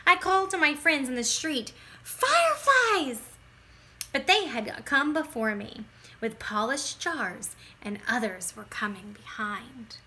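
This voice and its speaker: Bright, high-voiced woman reading a children's story. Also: big pitch swings. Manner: dramatic, drawn-out emphasis with hushed drops and bursts. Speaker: teacher reading a picture book aloud. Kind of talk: expressive children's read-aloud narration.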